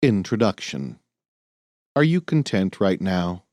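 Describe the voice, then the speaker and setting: A man reading a nonfiction audiobook in a measured, earnest, clearly phrased way. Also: sits low, sing-song, darkish tone, no echo. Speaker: audiobook narrator. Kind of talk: scripted nonfiction audiobook narration.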